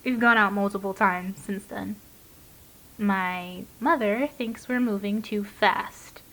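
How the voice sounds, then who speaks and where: A woman playing a gentle, earnest young waitress, confiding hesitantly in an audio drama monologue. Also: medium pitch, slow pace, low-quality recording. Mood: fond, dreamy.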